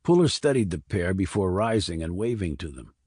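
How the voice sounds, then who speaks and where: A man's voice: deep voice, sing-song, a little fast, a little mellow, slightly rough, no room sound, studio quality. A man reading a crime thriller as scripted audiobook narration.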